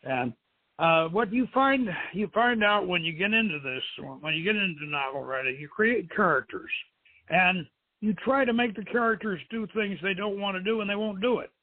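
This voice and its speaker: A man's voice: sits high, normal speed. Man hosting on muffled, narrow-band radio. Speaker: show host and novelist reading own story. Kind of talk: radio show introduction and dramatic reading.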